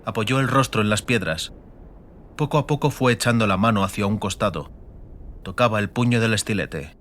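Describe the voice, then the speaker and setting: A man's voice: fairly low pitch, moderate pace, a bit bright, a little hiss or hum. A man with an accent from Spain, narrating a fantasy audiobook in a dramatic scripted style. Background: music.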